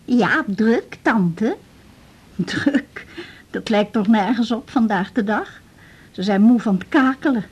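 A woman's voice: a little high, animated, darkish tone, husky, heavily acted. An actress playing a frail, talkative old Dutch widow, a patient clinging to her house, in a radio drama. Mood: indignant. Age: older. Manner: chatty, plaintive, with halting repetitions.

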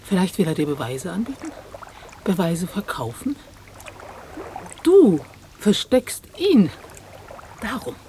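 An actress playing an inquisitive, spirited woman in a radio play, with a questioning, teasing, expressive manner. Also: fairly low pitch, slow, long pauses, slight background noise, full character voice. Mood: probing. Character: inquisitive woman, spirited old flame of a journalist.

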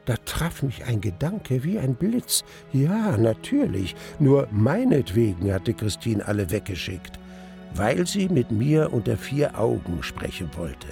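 An actor playing a reflective older man narrating his memories in a radio drama, literary, deliberate and gravelly. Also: medium pitch, sing-song, warm tone, soft background music. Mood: tense, hopeful.

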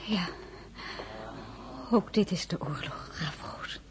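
An actress in a period radio drama playing a determined marshal's wife with an insistent, commanding manner. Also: female voice, on the low side, slow pace, in a whisper. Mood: hushed horror. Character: determined, high-born married woman, marshal's wife. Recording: noisy radio drama recording.

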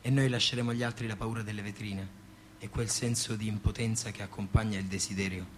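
A man's voice: on the low side, steady tone, quick pace, background noise, lightly acted. An actor playing a weary, devoted young working man in a poetic stage drama. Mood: solemn, tender. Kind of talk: poetic stage-theatre monologue.

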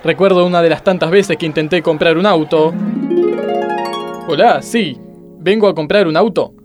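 Man acting a high-voiced, self-pitying hapless judge. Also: normal speed, close to an everyday voice. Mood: earnest. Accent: Argentine. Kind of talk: radio sketch comedy acting. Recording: background music and crowd noise.

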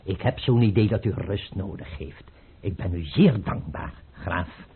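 An actor playing a suspicious, autocratic tsar, imperious and abrupt, in a radio play. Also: male voice, deep voice, a little slow. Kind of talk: Dutch radio play acting. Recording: noisy, tinny old radio recording.

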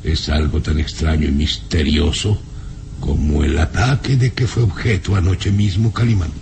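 Deep-voiced, haughty old baron. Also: male voice, fairly even intonation, normal speed, creaky. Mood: cold, stern. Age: older. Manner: grave, formal, theatrical. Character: stern, haughty old baron, cold and menacing father. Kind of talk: radio drama acting. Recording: noisy old radio drama recording.

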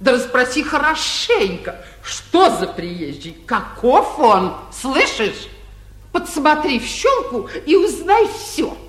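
An actress playing a fussy, nosy, scolding middle-aged wife of a governor, theatrical and impatient, in a 1940s radio stage comedy. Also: female voice, high-pitched, big pitch swings, slow pace, a bit bright, full character voice. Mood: impatient nagging. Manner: theatrical, impatient, nagging, exclamatory. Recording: roomy old 1940s radio recording.

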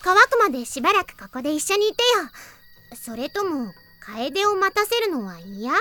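A woman voicing a teenage girl in an anime audio drama, playfully teasing and softly pouting, with a lonely side. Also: sits high, very animated, moderate pace, heavily acted. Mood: teasing, wistful.

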